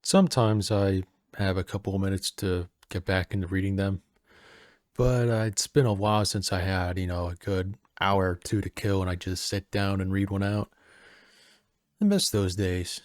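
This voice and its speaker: A man playing a friendly, easygoing personal assistant at a manor in an audio roleplay, soft-spoken, casual and a little hesitant. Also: sits low, normal speed, no echo, studio quality, natural voice. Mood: wistful, chatty.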